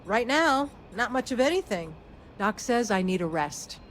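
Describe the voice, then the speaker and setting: Eager ranger, fairly high voice, friendly, folksy, game dialogue, light background noise. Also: female voice, very animated, a little slow, a bit bright. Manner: casual, chatty, folksy. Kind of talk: video game dialogue acting.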